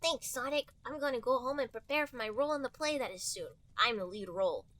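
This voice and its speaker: Lady doing a high eager little cartoon animal kid, sweet, silly fanfic read. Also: animated, fast talker, fairly bright tone, dry recording. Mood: cheerful, earnest. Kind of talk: comedic fan fiction read-aloud.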